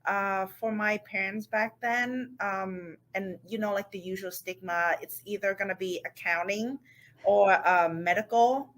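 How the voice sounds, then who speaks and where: A woman's voice: mid-range voice, measured pace, fairly bright tone. A young woman from Vietnam, chatting as a podcast guest in a loose way with many fillers. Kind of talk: casual interview talk.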